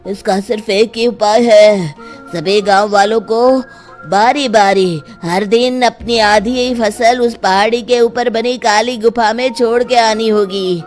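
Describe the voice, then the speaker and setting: A woman's voice: on the high side, fairly even intonation, slow, darkish tone, soft background music. A woman voicing a grave old male tantric holy man in a Hindi cartoon, solemn and portentous. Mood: commanding. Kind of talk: cartoon voice acting.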